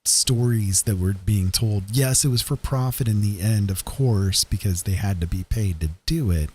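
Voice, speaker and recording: A man hosting a stream, chatting casually in a rambling, hesitant, self-effacing way with a husky voice. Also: fairly low pitch, moderate pace, darkish tone. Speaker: streamer host.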